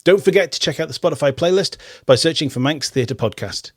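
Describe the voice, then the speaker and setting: A man's voice: a little high, lively intonation, fairly quick, breathy edge. A middle-aged man hosting a theatre podcast, chatting casually and restarting on repeated words. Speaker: podcast host. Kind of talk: casual radio interview chat.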